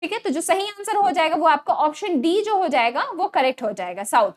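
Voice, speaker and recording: A woman's voice: extremely high pitch, lively intonation, fairly quick. A woman with an Indian accent teaching an exam quiz lesson, reading out questions and options and repeating key answers with frequent check-in tags. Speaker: online exam-prep teacher.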